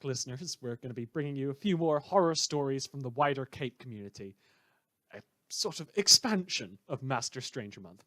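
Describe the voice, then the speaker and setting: A man's voice: a little high, a bit bright, no room sound. A man playing an opinionated, sardonic radio host delivering an indignant monologue in a fictional radio drama. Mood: wry. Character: opinionated male radio host ranting about corrupt heroes.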